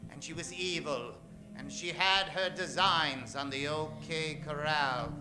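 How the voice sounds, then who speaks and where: A man's voice: fairly high pitch, measured pace, low-quality recording. A man narrating theatrically as the announcer of an old-time radio western, dramatic and emphatic, drawing out names. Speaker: announcer introducing a radio play cast. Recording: music behind the voice. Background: music.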